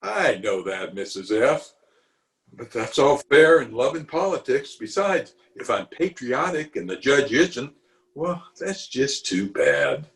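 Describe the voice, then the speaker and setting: A man's voice: medium pitch, big pitch swings, a bit bright, full character voice. A man playing a blustery, genial middle-aged small-town uncle in a pompous, theatrical 1940s radio comedy style. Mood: smug. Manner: pompous, theatrical, with drawn-out hesitations and chuckles. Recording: music behind the voice, remote home recording.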